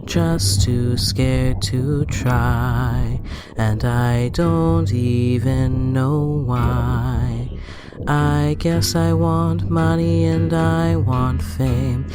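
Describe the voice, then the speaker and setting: A woman's voice: a bass voice, steady tone, very slow. A woman singing a plaintive, lullaby-like song with guitar. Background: guitar music.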